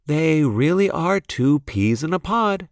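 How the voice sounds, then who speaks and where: Man putting on a higher-pitched mouse mother. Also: expressive, slow pace, no echo, studio quality. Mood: fond. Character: fond, observant mouse mother. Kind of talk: children's storybook character reading.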